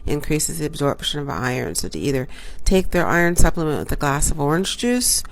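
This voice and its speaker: A woman doctor giving a casual health talk to her viewers, congested from a cold and pausing often with ums and self-corrections. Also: low-pitched, animated, gravelly. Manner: unhurried, halting with frequent ums and self-corrections. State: congested from a cold, occasional cough. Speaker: obstetrician gynecologist hosting a health show. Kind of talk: casual informational talk to camera.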